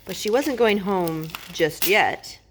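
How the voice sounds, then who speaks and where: A woman's voice: mid-range voice, lively intonation, takes it slowly. A woman tells a children's story aloud. Her voice is mid-range, and she phrases expressively with dramatic emphasis. The home recording carries faint noise and clicks.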